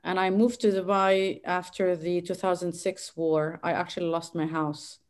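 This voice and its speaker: Woman, from Lebanon, low smooth voice, halting, fillers, video call. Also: little pitch movement. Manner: warm, eager, hesitant with fillers. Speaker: panelist. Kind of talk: casual online panel talk.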